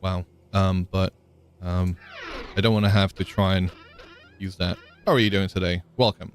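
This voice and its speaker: A man's voice: low-pitched, fairly even intonation, moderate pace, a little hiss or hum. A man live-streaming a game, chatting casually, thinking aloud with frequent ums and answering viewers in chat.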